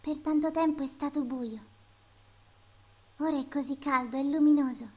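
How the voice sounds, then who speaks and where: A woman's voice: high-pitched, moderate pace, lightly acted. A woman playing the wounded, resentful ghost of a young girl in an amateur dubbed TV drama, with an emotional, plaintive manner. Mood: serene. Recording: music bed behind the voice.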